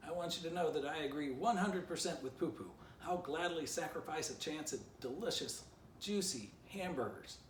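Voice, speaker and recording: A man's voice: a little high, moderate pace, pitched above the performer's own voice, close to an everyday voice. A man voicing a crafty male dog leader in a children's storybook, declamatory and slyly insistent. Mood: slyly defiant. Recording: roomy, distant mic, faint background noise.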